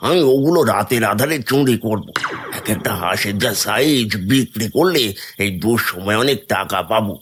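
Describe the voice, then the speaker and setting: A man's voice: fairly low pitch, lively intonation, normal speed, fairly bright tone, slight background noise. A man playing a greedy, sly village thief in a fairy-tale cartoon, plotting and dismissive. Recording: music behind the voice.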